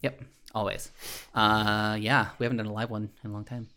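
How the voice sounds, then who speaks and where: A man in his thirties hosting a podcast, chatting casually with friends and jumping in quickly. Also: fairly low pitch. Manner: animated, quick to jump in. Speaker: podcast host. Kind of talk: casual chat among friends.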